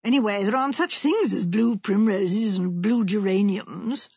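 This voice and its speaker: A woman's voice: medium pitch, normal speed, darkish tone, breathy edge, no room sound, muffled recording. A woman voicing a long-suffering, sceptical husband with a dry, placating, understated manner in an audiobook story. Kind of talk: audiobook character voice.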